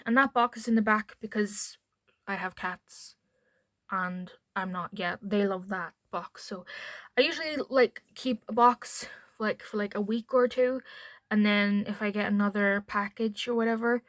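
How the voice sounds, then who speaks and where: A woman's voice: medium pitch, no room sound. A woman with a mild Northern Irish accent chatting casually to camera at home, rambling into asides as a beauty vlogger. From Northern Ireland.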